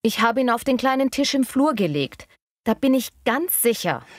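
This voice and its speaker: A woman acts a flustered, irritable wife in a language-learning dialogue. Her voice is fairly high, captured close with no room sound. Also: very animated, normal speed, no room sound. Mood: flustered, irritated. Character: flustered, irritated wife hunting for lost keys.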